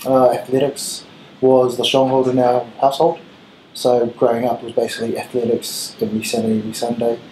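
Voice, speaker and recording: A man in his thirties with a New Zealand accent, a rugby head coach giving earnest, measured answers in an interview. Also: mid-range voice, completely flat delivery, mellow. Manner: earnest, measured, with filler ums. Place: small room with gray wall, seated at table. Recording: roomy echo, faint room hiss.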